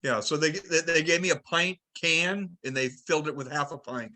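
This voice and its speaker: A man hosting a club conference call, talking casually and haltingly, often restarting his sentences. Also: on the high side, sharp tone. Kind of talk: casual group discussion.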